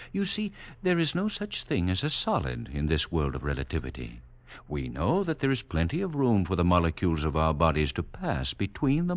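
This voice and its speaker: An actor playing a serenely superior mutant doctor, lecturing in a lofty, condescending 1940s radio manner. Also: male voice, low voice, exaggerated voice. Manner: condescending, lofty, measured, didactic.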